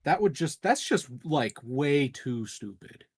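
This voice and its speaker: A man's voice: a little high, sing-song, slow pace, darkish tone, slightly rough. A man playing a tabletop RPG, reacting and asking questions in casual chat.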